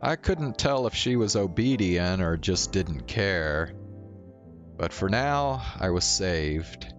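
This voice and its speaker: A man with a low voice reads visual novel narration aloud as a game streamer. His delivery is slow, measured storytelling, with game music playing behind him. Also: expressive, muffled recording.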